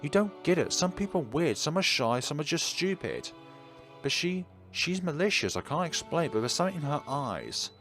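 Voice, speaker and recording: Man voicing a stern, pleading teenage girl, raised pitch. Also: big pitch swings, normal speed, a bit bright, soft background music. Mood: insistent, grave. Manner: insistent, emphatic.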